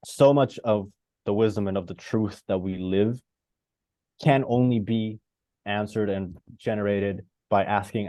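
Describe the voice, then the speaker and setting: A man from Costa Rica with a low, soft, mellow voice, recorded dry and close on a video call. He thinks out loud and rambles, full of "um" and "like" and restarts as he searches for what he means. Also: no echo. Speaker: participant. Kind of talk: reflective discussion on a video call.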